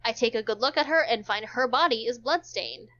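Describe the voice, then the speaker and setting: A woman's voice: a little high, brisk, pushed and bright. A woman streamer reading a visual novel aloud, narrating dramatically and chatting casually. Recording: dull, muffled lo-fi audio.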